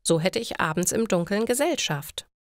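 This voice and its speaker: A woman's voice: medium pitch, animated, normal speed, no room sound, studio quality, natural voice. A woman voicing a direct, helpful young woman in a story for language learners. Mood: eager. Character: young woman neighbour, direct, helpful, fond of crime shows. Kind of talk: German learner story dialogue.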